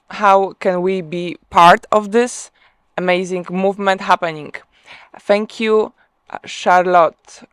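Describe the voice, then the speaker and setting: A woman from Poland with a Polish accent, hosting a live online interview and speaking hesitantly with many pauses. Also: medium pitch, smooth, dry recording, studio quality. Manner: hesitant, many ums and restarts. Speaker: host.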